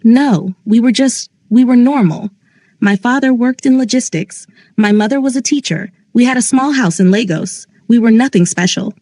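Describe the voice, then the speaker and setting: A woman's voice: on the high side, normal speed, warm tone, no echo, pitched above the performer's own voice, close to an everyday voice. A bewildered young woman speaks in a small, uncertain voice, as a woman acts her out. The sound is dry and close to the mic. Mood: insistent.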